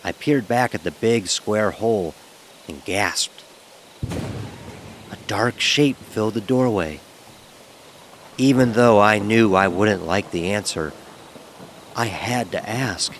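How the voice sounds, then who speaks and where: An actor playing a nervous young fraternity pledge, narrating his own horror story with measured suspense. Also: fairly low pitch, noisy background. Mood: tense, suspenseful. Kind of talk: dramatic first-person horror narration.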